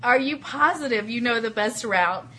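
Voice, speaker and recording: A woman hosting a casual live fan show, chatting and reading aloud in an excitable, informal, giggly way. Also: on the high side, moderate pace. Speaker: host of an Elvis fan radio show.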